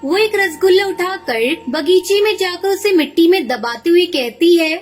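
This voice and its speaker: A woman's voice: extremely high pitch, lively intonation, normal speed, soft background music. A woman giving scripted narration of a children's moral story.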